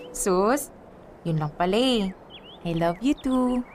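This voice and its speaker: A woman's voice: mid-range voice, expressive, slow, darkish tone, slight background noise. A woman playing a sweet, playful, superstitious young girlfriend in a Tagalog radio drama, with a Filipino accent. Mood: affectionate.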